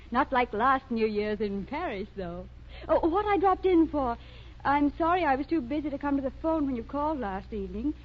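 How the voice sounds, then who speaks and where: An actress playing a spoiled young socialite in a 1930s radio drama, with an affected, breezy and snobbish manner. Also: female voice, sits high, animated, normal speed. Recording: muffled 1930s radio recording.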